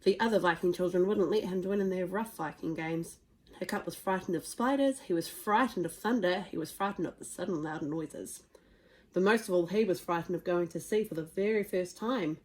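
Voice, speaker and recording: A woman reading a children's storybook aloud with expressive, dramatic emphasis. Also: fairly low pitch, fairly quick. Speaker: storybook reader.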